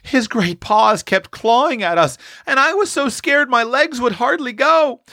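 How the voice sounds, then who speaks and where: A man's voice: extremely high pitch, expressive, unhurried, pushed and bright, no echo, studio quality, pitched above the performer's own voice, full character voice. A man voicing a timid, frightened little country girl in a storybook, with a quavering, piping delivery. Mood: terrified.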